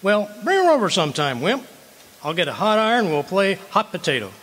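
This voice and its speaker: A man acts out a jealous, bluffing husband in a vintage radio comedy. His voice sits high and swings widely, with a stammering, flustered bluster. A long hall reverb surrounds it. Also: sing-song, a little fast, fairly bright tone. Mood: jovial, teasing. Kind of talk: old-time radio comedy acting. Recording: hall reverb on a live stage.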